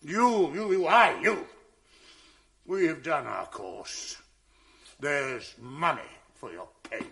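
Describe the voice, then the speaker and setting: An actor playing a jealous, enraged general in a radio drama of classical verse, swinging from bitter mockery to fury. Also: male voice, on the high side, lively intonation, takes it slowly, gravelly, long pauses, full character voice. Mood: bitter mocking. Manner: bitter, mocking fury swinging soft to loud.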